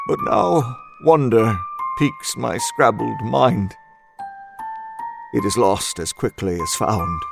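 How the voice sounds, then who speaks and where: Brief: male horror narrator, unhurried and dramatic, mid pitch, over music. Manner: measured, dramatic, deliberate. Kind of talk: scripted first-person horror narration. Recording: music bed underneath.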